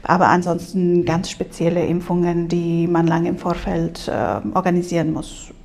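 A woman from Spain speaks German, answering as an exchange program advisor. Her voice has a gravelly creak, and she hesitates often, filling pauses with filler sounds and making small slips in grammar. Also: lowish voice, level tone, a little mellow. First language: Spanish. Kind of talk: interview answers. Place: language school room.

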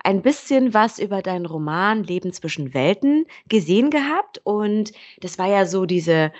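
A woman's voice: medium pitch, lively intonation, moderate pace. Chatty, upbeat woman podcast host. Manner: warm, enthusiastic, chatty with fillers. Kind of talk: casual interview chat.

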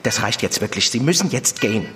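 An officious hospital staffer in an audio drama, with music behind him. His mid-range voice is lively and reproving, firm and formal in its indignation. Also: medium pitch, brisk. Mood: indignant, firm. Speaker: actor in a fiction podcast. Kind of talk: naturalistic German audio drama.

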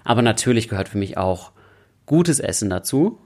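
A man's voice: fairly low pitch, sing-song, normal speed, a little mellow. A young man co-hosting a podcast in a casual chat, playful and self-ironic. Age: young adult. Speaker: podcast co-host.

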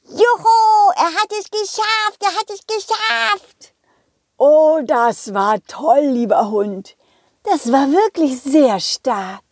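Woman doing a tiny grateful bookworm for a kids audio story, high voice, eager and excitable, says things twice. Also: slow pace, no room sound, studio quality, full character voice. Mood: jubilant, admiring. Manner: excitable, repeats phrases. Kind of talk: children's audio play.

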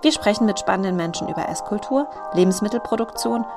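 Woman, podcast host, mid pitch, interview questions, faint background music. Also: animated, fast. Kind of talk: scripted intro, then casual interview questions.

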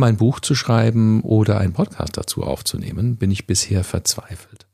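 A Canadian man who learned English first, speaking German as a coach and podcast host in a measured, reflective way. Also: lowish voice, gravelly, darkish tone, no room sound, studio quality. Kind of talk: scripted intro then interview answers.

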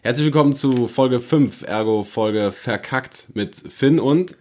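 A man's voice: mid-range voice, measured pace, darkish tone. A young man co-hosting a podcast in loose, rambling casual chat, telling a story with lots of fillers. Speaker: podcast co-host.

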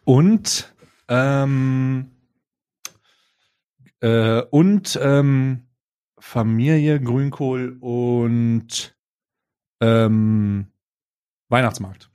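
Young male podcast co-host with a German accent. Average pitch, informal and slangy, halting as he thinks aloud. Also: dark tone, smooth. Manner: halting, slangy, searching for words. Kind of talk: casual unscripted podcast chat.

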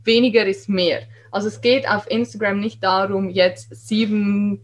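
A woman's voice: medium pitch, fairly even intonation, normal speed. A woman with a regional German dialect, talking candidly and emphatically about social media in a casual podcast chat. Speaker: guest, Instagram creator.

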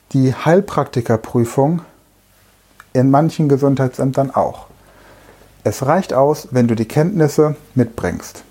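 A man's voice: medium pitch, warm tone, breathy edge. A middle-aged man who is a language trainer, giving an explanatory talk and addressing the listener directly with everyday examples. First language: German. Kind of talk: explanatory lecture monologue.

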